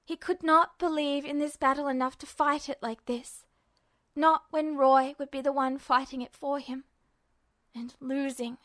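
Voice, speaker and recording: A woman's voice: high voice, flat delivery, unhurried, fairly bright tone, dry recording, clean studio sound. A woman narrator reading fiction in a dramatic audiobook style.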